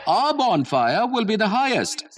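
A man voicing an excitable, pushy young boy who shouts insistently and shrilly in a storybook. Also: high voice, unhurried, soft background music, pitched above the performer's own voice. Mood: excited, defiant. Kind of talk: storybook character voice.

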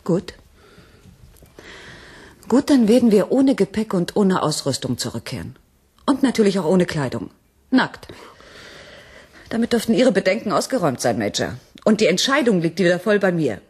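An actress playing a decisive colony leader in a radio play, speaking with measured, resolute authority. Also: female voice, mid-range voice, very animated, darkish tone, husky. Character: firm, decisive female head of a space colony. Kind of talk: 1980s German radio play acting.

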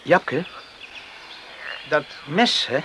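A jealous, lovestruck country lad in a radio drama. His high voice jumps around with boyish, insistent pleading. The recording is clearly noisy, with birds and open-air ambience. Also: lively intonation, drawn-out words, bright, forceful tone, full character voice. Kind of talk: Dutch radio drama acting.

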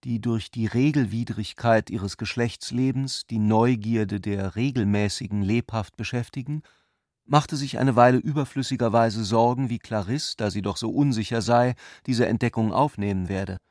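A man's voice: mid-range voice, normal speed, darkish tone, no echo, clean studio sound. A man reading scripted literary narration for a radio play as its storyteller.

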